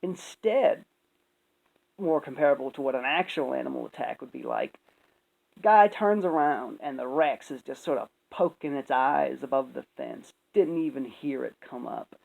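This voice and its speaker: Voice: mid-range voice, lively intonation, moderate pace, gravelly, darkish tone, low-quality recording. A speaker giving casual, opinionated commentary in a rambling, emphatic, sweary way. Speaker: video commentator.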